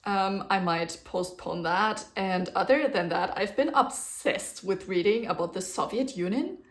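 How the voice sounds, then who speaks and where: A woman's voice: mid-range voice, a little slow. A young woman with a German accent, who grew up speaking a German dialect, chatting casually and rambling a little on her solo podcast. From German-speaking region. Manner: chatty, rambling, with fillers and small laughs. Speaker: podcast host.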